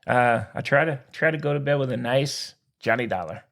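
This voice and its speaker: A man's voice: medium pitch, normal speed, gravelly, a bit bright. A man co-hosting a podcast in casual banter, jokey and exclamatory, often repeating his phrases. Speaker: podcast co-host.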